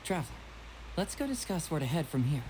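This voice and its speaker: A man voicing a young, confident, shrewd detective in a video game, with a casual, smooth, teasing manner. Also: a little high, very animated, fast talker, a crowd in the background, rough recording. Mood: polite, breezy. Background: game music.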